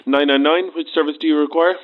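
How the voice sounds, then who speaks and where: An actress playing a brisk, businesslike emergency operator in an audio drama, heard through a telephone filter. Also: female voice, low-pitched, fairly even intonation. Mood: businesslike, concerned. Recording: muffled phone line.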